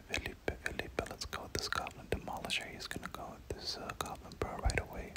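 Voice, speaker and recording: A gamer whispering casual, slangy ASMR commentary over gameplay, often repeating words, close to the microphone. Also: poor audio. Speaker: gaming video host.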